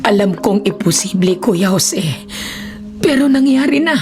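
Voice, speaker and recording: A woman's voice: medium pitch, animated, a little slow, darkish tone, natural voice. A woman playing a devoted, protective older nanny in a radio horror drama, earnest and emotional in delivery. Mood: troubled. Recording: dramatic music bed under the voice.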